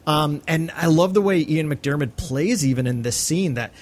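A man's voice: on the high side, lively intonation, normal speed, a little mellow. A man co-hosting a podcast, giving opinions in a casual, conversational film analysis. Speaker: podcast co-host.

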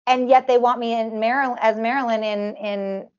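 A woman's voice: a little high, fairly even intonation, moderate pace, a little mellow, muffled recording. A woman with a nasal voice, a performer talking casually in an interview, full of restarts and fillers. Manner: talks in long runs with many restarts and fillers. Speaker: tribute performer guest.

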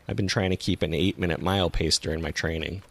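A man narrates as a podcast host, working from a script. His voice sits low, with plenty of gravelly fry at the bottom. The delivery is that of a storyteller reading prepared copy. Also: fairly even intonation, quick pace.

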